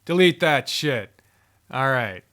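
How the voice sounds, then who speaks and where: A man's voice: mid-range voice, animated, slow, fairly bright tone. A man giving casual, rambling ranking commentary, thinking aloud and swearing freely in an informal way. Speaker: host.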